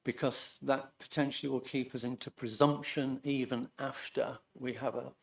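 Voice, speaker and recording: A man making a measured, deferential statement at a public hearing, addressing the chair as sir. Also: medium pitch, level tone, vocal fry. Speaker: hearing participant.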